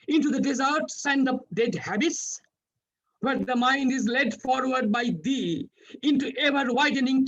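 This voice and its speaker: Earnest Bengali-accented man, high voice. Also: lo-fi sound. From West Bengal, India. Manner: earnest, solemn, with halting restarts. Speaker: webinar speaker. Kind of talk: formal prepared speech.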